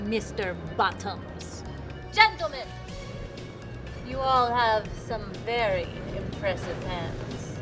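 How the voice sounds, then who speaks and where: Slow, flirtatious countess. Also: female voice, on the high side. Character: flirtatious, poised countess at a high-stakes poker table. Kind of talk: scripted film-style acting. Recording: music under the voice.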